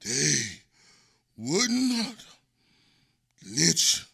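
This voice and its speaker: A gravelly, raspy old man on the run from a mob, defiant and set on surviving, as a man acts him out. He speaks very slowly with a bright, pressed edge, and his pitch swings widely. It is a radio play. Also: big pitch swings, heavily acted. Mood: grim, defiant. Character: aging man hunted by a lynch mob, defiant.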